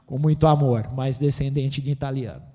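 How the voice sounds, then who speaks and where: A Brazilian man with a Brazilian Portuguese accent giving a seminar lecture, animated and excitable, tagging his points with constant questions to the audience. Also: mid-range voice, moderate pace. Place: auditorium. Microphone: handheld microphone. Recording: reverberant auditorium.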